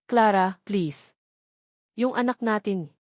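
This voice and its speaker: A woman's voice: mid-range voice, slow pace, no room sound, natural voice. An anxious young rich man , acted out by a woman narrator in an audio love story. He is protective and urgent, pleading and commanding by turns. The recording is dry and close. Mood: panicked. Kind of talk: dramatic audio story dialogue.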